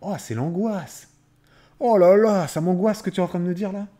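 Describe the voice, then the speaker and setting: A man's voice: sits high, normal speed, dark tone. A man chatting casually as a live streamer, replying to viewers in a conversational way and repeating phrases for emphasis.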